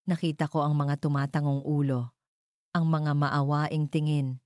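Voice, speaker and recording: A woman narrating a dramatic scripted story in the first person, in measured, deliberate short phrases. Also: sits low, little pitch movement, a little mellow, no room sound, studio quality.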